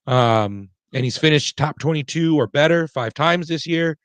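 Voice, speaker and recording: A man chatting casually as a sports show panelist, doubling back on his words in a halting way. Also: a little high, smooth, dry recording. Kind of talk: casual chat.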